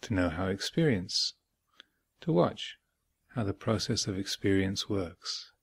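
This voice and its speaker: Very slow, creaky-voiced man guiding meditation. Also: sits low, expressive, dry recording, clean studio sound. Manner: measured, with long pauses between short phrases. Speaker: meditation teacher. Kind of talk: guided meditation instruction. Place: retreat center, close dry recording.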